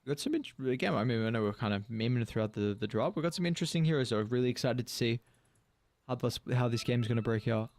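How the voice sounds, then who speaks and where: A man's voice: mid-range voice, expressive, fast talker, a little mellow, no room sound. A man in his mid-twenties casting an esports match, playful and slangy, laughing easily as he talks. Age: 20s. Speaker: esports caster. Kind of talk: casual esports commentary.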